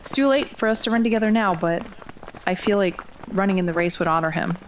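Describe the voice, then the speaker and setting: A woman's voice: medium pitch, fast talker, a little hiss or hum, natural voice. A woman playing a determined, grieving young runner in an audio drama, wry, guarded and understated. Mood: hesitant, tender. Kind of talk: audio drama acting, first-person narration and dialogue.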